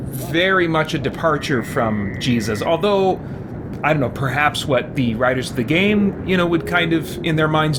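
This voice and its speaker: A man's voice: fairly high pitch, noisy background. A man giving casual, reflective let's play commentary, tentative and thinking aloud, with game music behind him. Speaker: game streamer.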